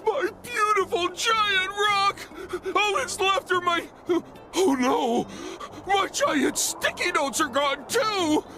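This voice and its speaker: A man voicing a dim-witted, good-natured, childlike starfish in a cartoon game, with a goofy manner. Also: very high-pitched. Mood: wailing. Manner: slow, goofy, dopey. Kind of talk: animated cartoon game acting.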